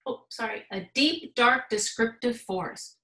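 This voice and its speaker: Bright, animated American woman reading a storybook. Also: on the high side, measured pace. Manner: animated, emphatic storytelling with dramatic gasps. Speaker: school principal reading aloud to children. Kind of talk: expressive children's storybook read-aloud. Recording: roomy, echoing sound.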